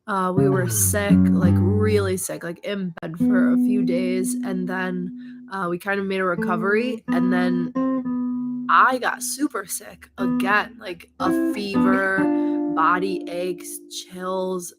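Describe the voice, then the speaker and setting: A woman co-hosting a casual live stream, hoarse and losing her voice, chatty and laughing often. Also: fairly low pitch, fairly even intonation, takes it slowly, over loud music.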